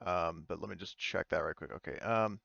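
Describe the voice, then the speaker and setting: A man's voice: low-pitched, brisk, vocal fry, a bit bright, no echo, dull audio. A man acting as game master, chatting informally and thinking aloud as he runs a tabletop game. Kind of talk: casual tabletop game narration and chat.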